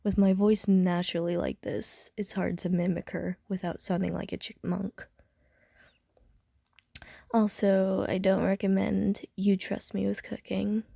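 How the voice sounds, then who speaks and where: A woman's voice: mid-range voice, creaky, dark tone, dry recording, exaggerated voice. A woman playing a casual, slightly prickly young gamer in an audio roleplay, talking hesitantly and with dry self-mockery to the listener. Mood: sheepish, self-mocking.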